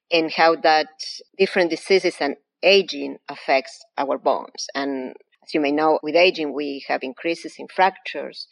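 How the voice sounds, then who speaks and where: A woman with a low, deep voice and an Argentine accent answers interview questions. She explains her science step by step in a patient, methodical way, a guest laying out her field for listeners. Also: gravelly, poor audio. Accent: Argentine Spanish. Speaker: anatomy professor, guest.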